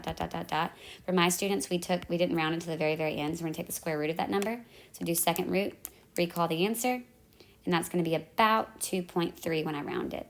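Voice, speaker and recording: A hoarse woman, talking through calculator steps in a math lesson. Her low voice has a gravelly edge, and she stops to fix her own slips. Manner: talks through calculator steps, self-correcting. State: losing her voice. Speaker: math teacher. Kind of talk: step-by-step math tutorial. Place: at home in the evening.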